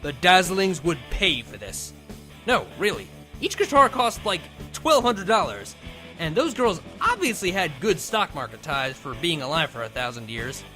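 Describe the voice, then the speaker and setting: A man's voice: fairly high pitch, very animated, normal speed, bright, forceful tone, soft background music. A man reading comedic fan fiction aloud as a host, with a theatrical, mock-dramatic delivery. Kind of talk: animated comedic story narration.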